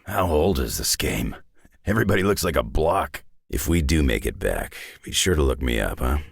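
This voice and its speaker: An actor playing a gruff, world-weary, tough older brawler in a video game, with a gravelly, raspy growl and a blunt, laconic delivery. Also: male voice, very deep voice, very animated, normal speed, dry recording, clean studio sound, exaggerated voice. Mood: gruff, casual. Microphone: close, dry studio microphone.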